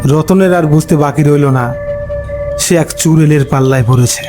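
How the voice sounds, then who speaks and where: A man narrating a scripted horror story in a dramatic, suspenseful way, pausing between short phrases. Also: a little high. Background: background music.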